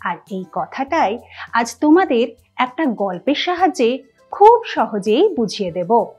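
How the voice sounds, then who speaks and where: A woman's voice: fairly high pitch, sing-song, a little slow, darkish tone, breathy edge, highs cut off, faint music behind. A woman hosting a children's story channel, talking cheerfully straight to young listeners. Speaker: channel host addressing children. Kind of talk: friendly children's show intro and outro.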